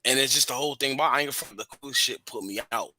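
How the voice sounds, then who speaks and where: A man's voice: mid-range voice, rapid-fire, bright, forceful tone, slight background noise. A man chatting on a podcast in a laid-back, slangy way and swearing casually.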